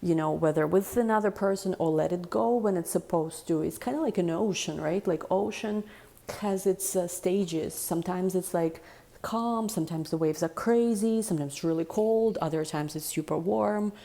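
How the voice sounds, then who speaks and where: A woman giving a casual tarot reading in a deep, chesty voice, rambling and reflective with many fillers. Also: normal speed, creaky, dark tone. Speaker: tarot reader.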